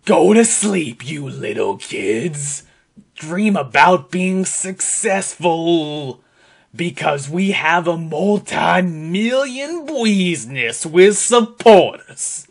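A man giving a dramatic reading in an emphatic, deliberate, mock-serious way. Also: on the high side, lively intonation, creaky, husky. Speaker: performer reading social media posts aloud.

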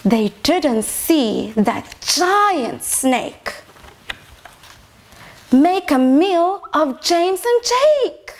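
A woman's voice: high-pitched, sing-song, drawn-out words. A young woman teacher reading a picture book aloud to small children, expressively and with prompting questions. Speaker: infant teacher reading a storybook. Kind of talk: children's story time read-aloud. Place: small decorated indoor reading corner.

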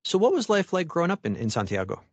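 A man hosting a media podcast, reading a scripted introduction and asking interview questions in a measured, clear voice. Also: fairly high pitch, huge pitch swings, dry recording. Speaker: podcast host.

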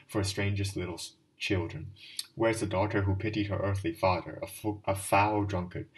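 A man voicing a drunken, ragged middle-aged man from a storybook, fervent and self-pitying, pouring out a long rambling confession. His voice sits fairly low and sounds a little distant from the mic. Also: natural voice. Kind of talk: audiobook dialogue reading.

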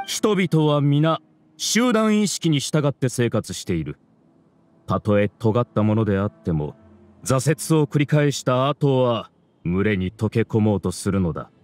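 A man's voice: mid-range voice, expressive, unhurried, a little mellow, natural voice. A man voicing an arrogant scholar villain in a video game, grandiose and lecturing in a theatrical way. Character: arrogant male scholar villain, mastermind of a hive mind. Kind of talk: Japanese video game voice acting.

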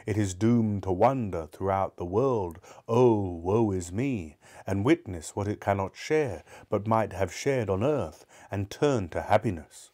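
A man's voice: lowish voice, lively intonation, measured pace, hardly any pauses, mellow. A man voicing the solemn, mournful ghost of a dead businessman in a storybook reading. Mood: woeful. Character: grave, doomed male ghost of a dead businessman.

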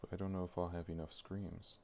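A man thinking aloud in a halting mutter as he works at his computer on a livestream. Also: deep voice, little pitch movement, creaky. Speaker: streamer. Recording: noticeable background noise, keyboard clicks. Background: typing and mouse clicking.

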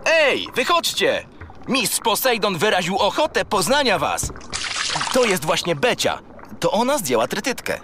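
Cheerful young sea creature, excitable, high voice, children's audio drama, noisy watery ambience. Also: male voice, measured pace, full character voice. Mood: eager. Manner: excitable, eager. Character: eager, cheerful young triton sea creature, Poseidon's helper.

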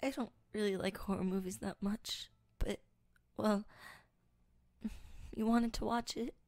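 A young woman voicing a timid, easily scared girl in an audio roleplay, speaking softly and hesitantly, stammering and often whispering. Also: medium pitch, steady tone, unhurried, dry recording, natural voice. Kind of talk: audio roleplay addressed to the listener.

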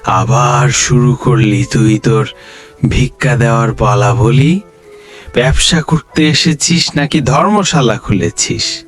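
A man's voice: mid-range voice, slow pace, soft background music, close to an everyday voice. A man voicing a boastful, scornful male street food seller in a cartoon, hawking and scolding.

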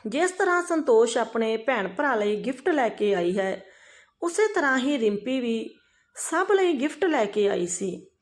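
A woman reading a scripted, emotional family story in an expressive, dramatized way. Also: fairly high pitch, unhurried.

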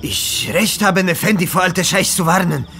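Superstitious Egyptian servant, audio drama: high-pitched, urgent, broken speech. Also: male voice, moderate pace, creaky, bright, forceful tone, a little hiss or hum, exaggerated voice. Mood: alarmed. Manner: broken grammar, urgent warning. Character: anxious, superstitious Egyptian manservant. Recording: music under the voice.

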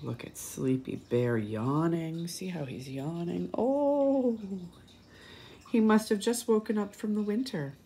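A woman reading a picture book aloud expressively for young children, with pauses, asides and questions to her listeners. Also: fairly low pitch, dark tone, slight background noise. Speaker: children's story reader and teacher.

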